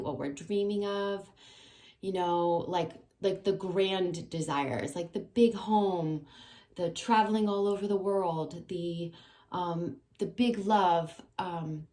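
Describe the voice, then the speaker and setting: A woman's voice: fairly low pitch, darkish tone. A woman doing a casual, meandering tarot reading, with many fillers and a slight tickle in her throat that brings the odd cough. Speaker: tarot reader.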